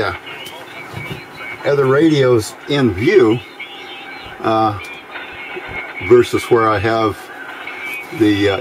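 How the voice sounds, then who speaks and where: A man giving a casual hands-on demonstration of homemade gear in an unhurried, halting, explanatory way. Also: lowish voice, low-quality recording. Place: small indoor workbench by a window. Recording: faint background noise.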